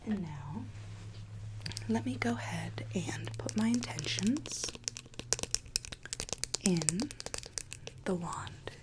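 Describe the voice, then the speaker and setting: A woman voicing a conspiring, troubled Alice in an ASMR roleplay, in a hushed breathy whisper with a coaxing, quietly sinister manner. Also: on the low side, lively intonation, extremely slow, long pauses, noisy background. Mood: coaxing, scheming. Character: whispering mad Alice, conspiring captor seeking her true self.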